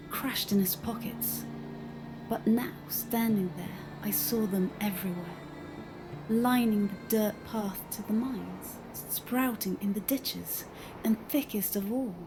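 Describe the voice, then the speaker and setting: A haunted young woman in mourning, played by a woman, tells her story in an audio drama. She speaks slowly and softly, with solemn, deliberate phrasing over clear background music. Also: medium pitch. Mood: hushed grief. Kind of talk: scripted dramatic first-person narration. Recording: music behind the voice.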